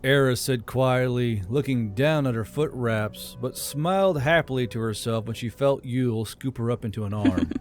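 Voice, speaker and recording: A man's voice: mid-range voice, normal speed, hardly any pauses. A man narrating a fantasy story as an audiobook, as the host of his own channel. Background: background music.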